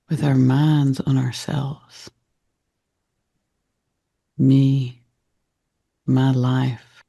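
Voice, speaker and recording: A man guiding a meditation in a gentle, reflective manner, with deliberate short phrases and a soft, husky, gravelly voice. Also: medium pitch, no echo. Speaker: meditation guide. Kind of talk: guided meditation talk.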